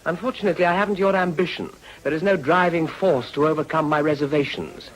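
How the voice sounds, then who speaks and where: A man playing a witty, idle gentleman politician in a period radio drama, urbane and dry, with an upper-class English accent. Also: fairly high pitch, fast talker, close to an everyday voice, poor audio. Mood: dry, sardonic. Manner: urbane, dry, teasing, articulate.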